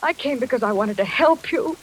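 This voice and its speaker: A woman playing an anxious, devoted sweetheart in 1940s radio melodrama, breathy, hushed and pleading. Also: sits high, lively intonation, fairly quick. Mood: pleading, tender. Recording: noisy old radio recording with crackle.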